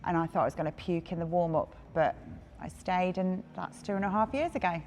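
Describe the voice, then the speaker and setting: An easygoing woman in her forties talks casually in an interview. Her voice is somewhat low, and a long reverb with soft background noise surrounds it. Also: brisk. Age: 40s. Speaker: gym member interviewee. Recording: reverberant room, faint noise.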